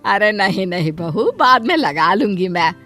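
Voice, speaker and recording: A woman's voice: mid-range voice, big pitch swings, moderate pace, pushed and bright, faint music behind. Woman acting a bossy older mother-in-law. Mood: surprised, pleased. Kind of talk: Hindi moral cartoon story voice acting.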